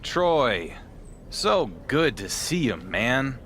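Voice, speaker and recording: Male champion, mid-pitched, self-assured and wry, video game wrestling drama. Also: sing-song, very slow, a bit bright, slight background noise, full character voice. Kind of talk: video game cutscene acting.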